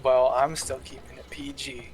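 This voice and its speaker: A man chatting casually in a deadpan way over voice chat. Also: medium pitch, normal speed, crowd noise. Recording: clearly noisy voice chat.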